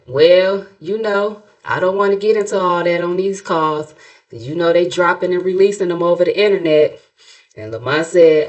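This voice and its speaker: Sassy American woman with a lowish voice. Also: darkish tone. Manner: colloquial, playful, mocking, dramatic retelling. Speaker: YouTube commentary host, narrator. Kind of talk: sassy, gossipy reaction commentary.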